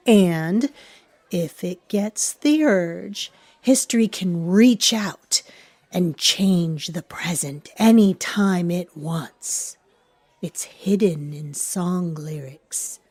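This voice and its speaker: A woman playing a knowing, darkly wry storyteller, narrating an audio drama in a measured, ominous way and addressing the listener directly. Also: medium pitch, warm tone, no room sound. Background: music.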